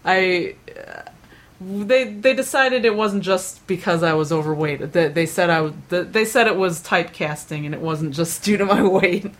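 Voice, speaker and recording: Rambling woman, low voice. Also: normal speed. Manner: rambling, self-deprecating, many fillers and restarts. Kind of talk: casual chat.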